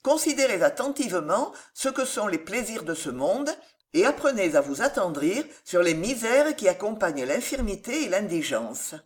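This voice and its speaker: Storybook role: fervent, eccentric preacher-like man, grave and declamatory, very animated pitch female narrator performing. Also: normal speed, lightly acted. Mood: grave exhortation. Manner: exhorting, declamatory. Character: solemn, impassioned moralizing eccentric man begging for the poor. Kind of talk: audiobook character voice.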